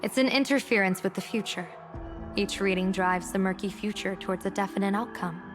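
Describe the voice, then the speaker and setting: A woman voice acting a shrewd, composed general and diviner in a game cutscene, speaking in a measured, formal, theatrical way. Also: mid-range voice, steady tone, music in the background, close to an everyday voice. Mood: grave, composed. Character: shrewd, composed female general and diviner, secretly gambling against gods.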